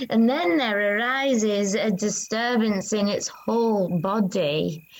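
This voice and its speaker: An actress as a woman disciple in a dramatised ancient scripture, with a fairly high voice. She speaks extremely slowly and reverently, leaving long pauses as she solemnly recounts her vision. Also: exaggerated voice, low-quality recording. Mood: earnest, urgent. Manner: slow, halting, reverent delivery with long pauses. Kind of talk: dramatic monologue of an ancient religious text.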